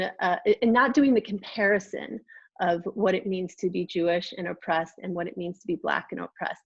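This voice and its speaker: A woman's voice: mid-range voice, no echo. Dry, dull-sounding thoughtful American woman. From Chicago, United States. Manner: measured, thoughtful, pausing to choose words. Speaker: panel guest, artist and educator. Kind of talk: personal reflection in a panel discussion.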